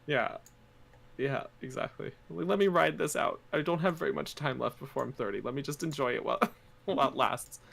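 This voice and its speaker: A man's voice: a little high, a little fast, vocal fry, a bit bright. A man in his twenties, a podcast guest and streamer, chatting casually over an online call and laughing often. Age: 20s. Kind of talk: casual chat.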